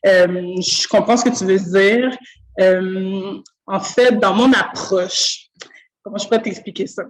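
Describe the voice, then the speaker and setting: Earnest Québécoise on a video call. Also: female voice, medium pitch, fairly even intonation, slow, low-quality recording. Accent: Québécois French. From Quebec. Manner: thoughtful, earnest, many fillers and restarts. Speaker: school speech-language pathologist, guest. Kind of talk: conversational interview. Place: school office.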